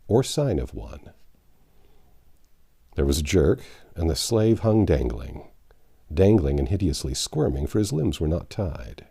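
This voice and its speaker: A man's voice: sits low, warm tone, breathy edge. A man reading a classic novel aloud as narrator, with a dramatic storytelling delivery. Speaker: audiobook narrator.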